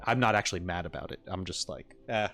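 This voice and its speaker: A man's voice: deep voice, fast talker, a bit bright, faint music behind. A man doing casual livestream commentary as he reacts to a game, opinionated and emphatic, in an argumentative way. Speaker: streamer reacting to game voice lines.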